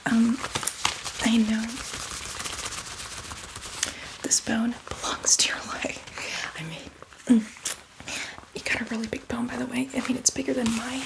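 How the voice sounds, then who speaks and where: A woman's voice: fairly high pitch, flat delivery, normal speed, vocal fry, background noise. A woman playing a hardcore, teasing zombie mentor in a whispered, coaxing ASMR roleplay with playful asides. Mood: hungry, teasing.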